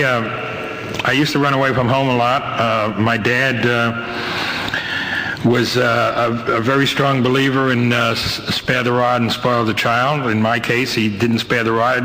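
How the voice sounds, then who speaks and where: Older male guest speaker, New York accent, sharp bright voice, rambling dry-humored testimony, slightly noisy 1990s meeting tape. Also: mid-range voice. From Brooklyn, New York, United States. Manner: rambling, halting, wryly humorous storytelling. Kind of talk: personal testimony to a live meeting. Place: meeting hall, speaking to a group.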